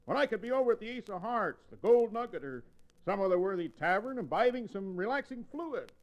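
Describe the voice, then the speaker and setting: A high squawky fussy cowboy sidekick, flowery words, old radio western style, pitch all over. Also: male voice, animated, poor audio. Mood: indignant. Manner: florid, theatrical, drawn-out exclamations. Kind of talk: 1940s radio western acting.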